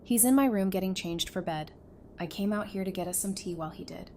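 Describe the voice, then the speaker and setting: A woman playing a caring, gently teasing young survivor in an audio drama. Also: mid-range voice, brisk, slight background noise, close to an everyday voice. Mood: gentle, tired. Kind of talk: fan audio drama acting.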